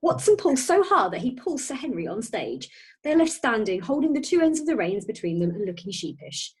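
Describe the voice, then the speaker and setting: Husky woman narrator. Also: on the high side, big pitch swings, brisk, a little mellow. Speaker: narrator reading stage directions. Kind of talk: scripted narration.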